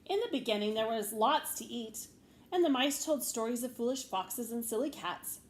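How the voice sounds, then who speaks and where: Woman, storybook read-aloud, expressive, measured pauses, slightly high voice, faint background noise. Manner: measured, with deliberate pauses and emphasis. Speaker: storybook reader, teacher.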